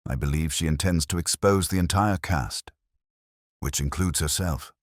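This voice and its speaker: A man voicing a calm, courteous male police inspector who talks in a quiet, measured, grave way in an audiobook. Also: a bass voice, no echo, studio quality.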